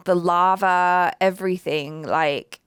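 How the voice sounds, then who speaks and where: Warm-voiced woman chatting casually. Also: fairly low pitch, fairly even intonation, takes it slowly, clean tone, dry recording, studio quality. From Indonesia. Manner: enthusiastic, lots of fillers like "like" and "you know". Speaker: movie reaction co-host. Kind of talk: casual chat. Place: Los Angeles home studio.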